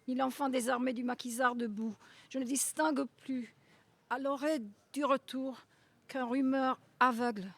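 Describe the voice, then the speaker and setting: A woman speaking to a poetry event as translator and reader, with deliberate, dramatic phrasing. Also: on the high side. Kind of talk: introduction and expressive poetry reading. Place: outdoors at a gallery event.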